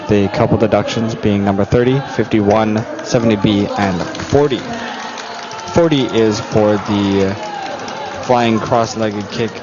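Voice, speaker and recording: A man commentating live on a martial arts competition, announcing athletes and scores in a measured, orderly way. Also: lowish voice. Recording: muffled broadcast audio, music and crowd behind. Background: venue music and crowd noise.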